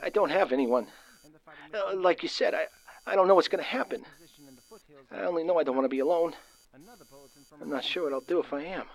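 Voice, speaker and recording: A man's voice: a little high, a little fast, vocal fry, long pauses, low-quality recording. A man playing a lonely, frightened caller in an old-time radio drama, stammering and hesitant as he pleads. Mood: desperate, pleading. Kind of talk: 1940s radio drama acting.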